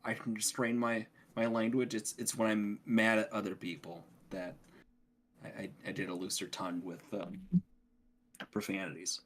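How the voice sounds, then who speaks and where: A man with a fairly low voice talks casually as a podcast co-host. He explains things in a halting way, often doubling back on his words. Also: level tone, fairly bright tone, slight background noise. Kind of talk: casual gaming podcast chat.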